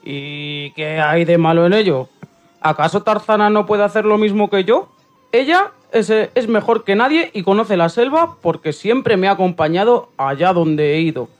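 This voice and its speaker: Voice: mid-range voice, expressive, normal speed, close to an everyday voice. An actor playing an aging, tired but proud Tarzan in a children's radio play. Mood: indignant, proud.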